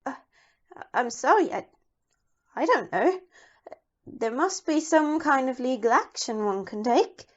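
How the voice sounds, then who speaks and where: A woman's voice: high-pitched, expressive, unhurried, long pauses, muffled recording. A woman playing a rich, anxious young wife in an audio play, speaking in a proper, imperious manner. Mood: indignant, flustered. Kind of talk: amateur audio play acting.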